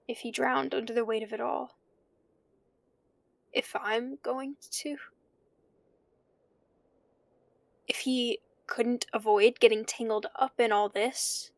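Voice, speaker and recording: An actress playing a troubled, brooding young woman confiding haltingly in an audio drama, with a creaky voice. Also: on the high side, dry recording. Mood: grieving, anxious. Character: troubled young woman haunted by dead relative. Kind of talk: audio drama monologue, intimate confessional recording.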